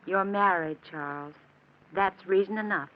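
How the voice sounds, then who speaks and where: Actress as a sorrowful sweetheart, muffled old radio. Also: female voice, mid-range voice, unhurried, natural voice. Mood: reluctant. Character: gentle, sorrowful woman renouncing a married lover. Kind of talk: 1940s radio acting. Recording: dull, hissy old radio broadcast.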